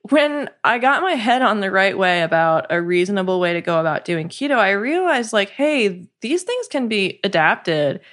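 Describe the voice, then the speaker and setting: Woman chatting on a podcast, laughs a lot, lots of um and like, mid pitch. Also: big pitch swings, moderate pace, hardly any pauses, fairly bright tone. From United States, partly raised in South Korea. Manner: chatty, laughs often, many fillers. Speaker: podcast guest, cookbook coauthor. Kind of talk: casual interview chat.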